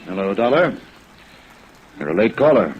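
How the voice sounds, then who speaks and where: Deep-voiced, uneasy radio attorney. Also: male voice, very animated, normal speed, soft background music. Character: uneasy, guilty attorney husband, hesitant and subdued. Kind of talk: 1940s-50s radio drama acting. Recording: muffled, noisy vintage radio recording.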